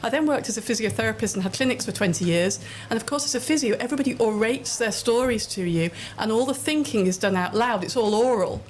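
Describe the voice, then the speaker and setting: A woman's voice: fairly high pitch, quick pace, hardly any pauses, a little hiss or hum. A middle-aged woman giving articulate, anecdotal answers as a guest in a live interview. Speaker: interview guest, children's storytelling project leader.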